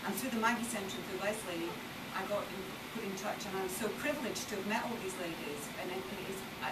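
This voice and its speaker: A woman on a panel answering an audience question, speaking in a heartfelt way and repeating words as she searches for them. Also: mid-range voice. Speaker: panel member, support group member. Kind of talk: earnest Q&A answer. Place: screening room. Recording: echoey room, distant mic, loud background noise.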